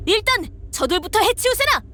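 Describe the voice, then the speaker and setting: A woman's voice: very high-pitched, very animated, a little fast, bright, forceful tone, a little hiss or hum, heavily acted. An actress playing a bold, eager, knight-like young woman in a Korean game, with archaic, exclamatory speech. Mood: excited.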